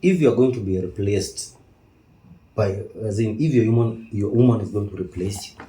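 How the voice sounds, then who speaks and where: A man's voice: fairly low pitch, normal speed, warm tone. A young man with a Kenyan accent in a casual debate, repeating phrases as he argues in mixed Swahili slang and English. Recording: echoey room.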